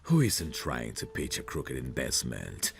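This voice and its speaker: A man playing a possessive, protective mafia boss in an intimate audio roleplay, self-assured and coaxing, with a gravelly voice. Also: big pitch swings, heavily acted. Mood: reassuring, persuasive. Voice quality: very deep, gravelly. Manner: slow, deliberate, self-assured, coaxing with pet names.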